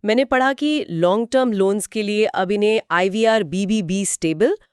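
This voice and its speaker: A woman's voice: mid-range voice, moderate pace, darkish tone, clear, resonant tone, no echo, clean studio sound. A woman co-hosting a conversational explainer, speaking inquisitively and mixing English terms into her speech. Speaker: co-host of a financial explainer discussion.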